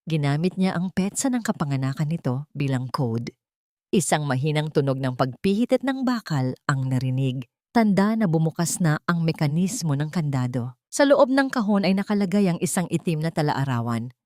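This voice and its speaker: A woman reading a scripted dramatic story in a measured, solemn, suspenseful way. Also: low-pitched, big pitch swings, a little mellow, dry recording, clean studio sound. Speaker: narrator of audio drama stories.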